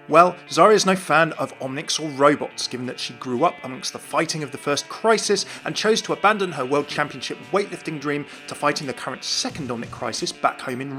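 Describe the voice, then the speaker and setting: A man's voice: a little high, bright, forceful tone. A man giving a scripted commentary on video game lore. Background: faint music.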